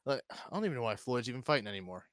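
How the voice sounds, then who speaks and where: Animated man in casual, sweary banter. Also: medium pitch, rapid-fire, dry recording. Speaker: podcast co-host.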